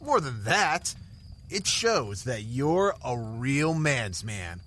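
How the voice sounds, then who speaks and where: A woman's voice: very deep voice, very animated, takes it slowly, fairly bright tone, a little hiss or hum, full character voice. A woman playing a brash, flirty, foul-mouthed camper in an audio drama. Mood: brash, teasing.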